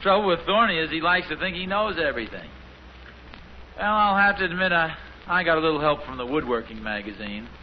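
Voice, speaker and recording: A man's voice: a little high, animated, a little fast, close to an everyday voice. An actor playing a mild, flustered suburban husband and father in a 1950s radio sitcom, stammering and defensively proud. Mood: wry, defensive. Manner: stammering, hesitant. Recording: muffled, hissy 1950s radio recording.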